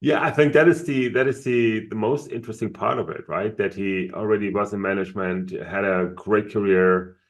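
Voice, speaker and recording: A man co-hosting a podcast in casual chat, often pausing to search for words. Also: lowish voice, steady tone. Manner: searches for words, many fillers. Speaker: podcast co-host.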